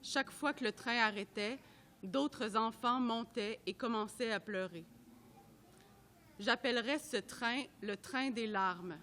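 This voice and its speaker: A woman reading a report aloud at a public event, in a measured, careful way that sometimes trips over names. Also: a little high, bright, forceful tone, a little hiss or hum. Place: art gallery at a live event.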